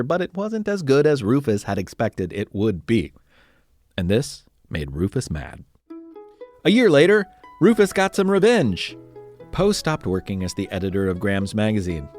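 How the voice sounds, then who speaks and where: A man narrating scripted history as a podcast storyteller, emphatic, with dramatic pauses. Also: lowish voice, darkish tone. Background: music under the voice.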